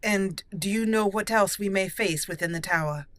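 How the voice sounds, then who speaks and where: A woman's voice: mid-range voice, normal speed, fairly bright tone, no echo. A woman hosting a roleplay let's play, chatty and moving between commentary and in-character lines. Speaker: gaming host.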